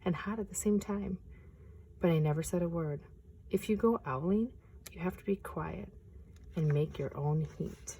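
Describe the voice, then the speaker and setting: A woman reading a picture book aloud outdoors in a forest, hushed and expressive, sometimes dropping to a whisper. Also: lowish voice, normal speed, slight background noise. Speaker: nature preserve storyteller.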